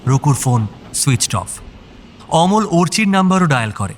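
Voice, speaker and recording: A man's voice: fairly high pitch, big pitch swings, moderate pace, a little hiss or hum. A man narrating an audio story in a scripted, dramatic style.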